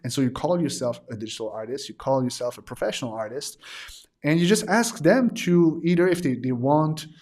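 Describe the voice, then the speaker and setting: Man losing his voice, halting. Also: mid-range voice, lively intonation, a little mellow. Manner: hesitant, many "uh" fillers and restarts. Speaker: digital art teacher hosting a livestream. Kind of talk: casual livestream talk to viewers.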